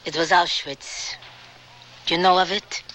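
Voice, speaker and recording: An actress playing a grieving older woman who survived the camps, speaking in a measured, halting, solemn way in a radio drama. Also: fairly low pitch, fairly even intonation, fairly bright tone, slight background noise. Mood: haunted, grieving.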